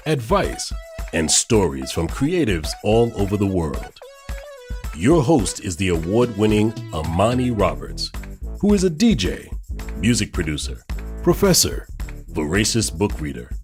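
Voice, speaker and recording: A man reading a scripted podcast intro as an announcer, over music. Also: low voice.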